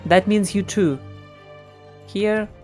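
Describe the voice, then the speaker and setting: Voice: mid-range voice, steady tone, takes it slowly, a little mellow, soft background music. A game streamer making casual, offhand live reactions to gameplay.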